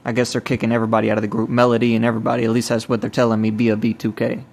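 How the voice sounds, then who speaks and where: Halting man with a flat delivery. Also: medium pitch, gravelly. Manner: halting, repetitive, restarting phrases. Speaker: self-described evangelist recording a personal video. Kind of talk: rambling spoken testimony to camera or phone.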